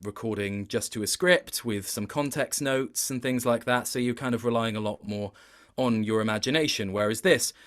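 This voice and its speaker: A man's voice: medium pitch, moderate pace, hardly any pauses, a bit bright. A man speaking as an interview guest in a casual, conversational style. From Wales.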